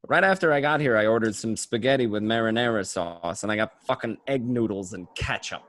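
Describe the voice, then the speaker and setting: An amateur actor playing a bitter, profane gangster stuck as an average nobody in a radio drama. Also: male voice, on the low side, fairly quick, fairly bright tone, close to an everyday voice. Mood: bitter, resigned.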